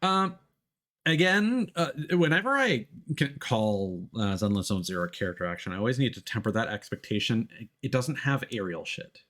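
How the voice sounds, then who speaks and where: A man with a fairly low voice streams a game with casual commentary. His pitch swings around a lot as he talks. He thinks aloud, often halting as he works through what he sees. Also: very animated, fairly bright tone. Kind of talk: casual live gameplay commentary.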